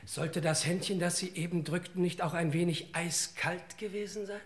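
A man's voice: high voice, moderate pace, background noise, close to an everyday voice. An actor playing a wry, chatty detective's sidekick in an old radio crime play, deferring to his boss in dryly ironic, stilted phrases.

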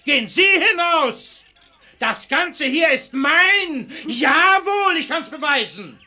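An actor playing a sly, pious hypocrite and seducer in a German radio play, speaking rhymed verse in an unctuous, insistent, theatrical way. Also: male voice, very high voice, sing-song, slow, pushed and bright, heavily acted. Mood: outraged.

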